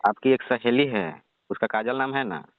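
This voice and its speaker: A man's voice: medium pitch, moderate pace, no room sound, lightly acted. A man playing a married village doctor with a Bihari accent, reluctant, self-important and mock-protesting in a comic phone call. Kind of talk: comic prank-style phone call, conversational. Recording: muffled narrowband phone call.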